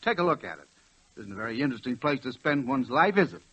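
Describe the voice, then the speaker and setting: A man's voice: mid-range voice, sing-song, fast, sharp tone, breathy edge, heavily acted. An actor playing a meek, dreamy druggist in his late forties, hesitant and stammering, in 1940s radio style. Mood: wistful, frustrated. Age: late 40s. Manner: hesitant, stammering, turning impassioned. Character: meek, dreamy middle-aged druggist obsessed with trains. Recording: dull old 1940s radio recording.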